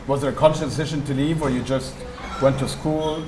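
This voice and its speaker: A man's voice: medium pitch, steady tone, fairly quick, background noise. Man hosting onstage in a roomy hall. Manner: courteous, rambling questions with fillers. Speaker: host, interviewer. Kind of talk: onstage interview. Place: event hall with audience.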